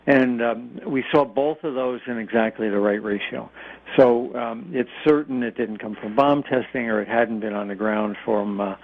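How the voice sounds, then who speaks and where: An older man from the United States explains things as an expert guest in a radio interview, haltingly and with repeated words. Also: mid-range voice. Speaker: guest nuclear engineering expert. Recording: narrow, phone-like radio line.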